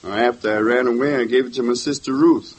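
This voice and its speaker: A man plays a nervous young suspect in an old radio drama, deferential and earnest as he pleads his innocence. His voice is slightly husky, at a middle pitch. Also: steady tone, a little fast, lightly acted. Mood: defensive. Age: 20s. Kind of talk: 1950s radio drama acting.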